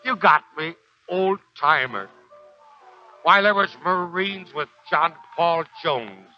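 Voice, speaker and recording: An actor playing a proud, embittered old Marine sergeant in a 1940s American radio drama, with gruff, slangy, uneducated speech. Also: male voice, fairly high pitch, expressive, takes it slowly. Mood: bitter, rambling. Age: older. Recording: dull 1940s radio recording, faint music.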